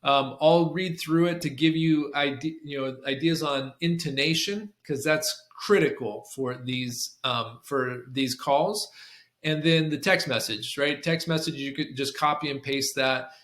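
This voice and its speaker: A man with a slightly high voice walks listeners through a procedure like a trainer. He restarts and repeats phrases, checking in as he goes. The room adds a strong echo to his voice. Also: measured pace, a bit bright. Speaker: sales call trainer for a clinic. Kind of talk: instructional screen-share walkthrough with demonstrated scripts. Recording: roomy, echoing room sound.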